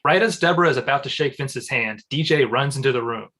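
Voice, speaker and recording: A man's voice: mid-range voice, quick pace, a bit bright. A man reading stage directions as scripted narration at a comedy table read.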